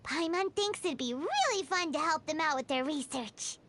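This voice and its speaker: A woman voicing a tiny, excitable, food-loving female fairy companion in a video game, squeaky and childlike, bubbly and exclaiming. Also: normal speed. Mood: cheery. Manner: speaks of self in third person, exclaiming, bubbly. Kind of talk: video game character dialogue.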